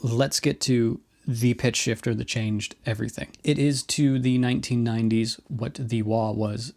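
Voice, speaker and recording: Man, medium pitch, casual explainer, video host, informal, opinionated, wryly self-deprecating. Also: steady tone, moderate pace, a little mellow, faint music behind. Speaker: host of a guitar effects video.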